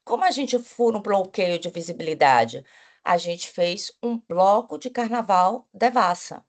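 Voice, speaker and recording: A woman's voice: mid-range voice, lively intonation, a little slow. Woman speaking on a panel. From Bahia, Brazil. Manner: enthusiastic, with frequent restarts and "né?" tags. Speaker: panelist, agency executive. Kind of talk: panel talk.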